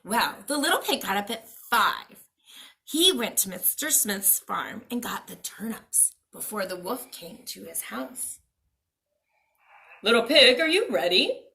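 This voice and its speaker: A woman storyteller reading a children's picture book aloud in an expressive, dramatic way, addressing young listeners. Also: medium pitch, unhurried, vocal fry. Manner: dramatic, asks the listeners questions.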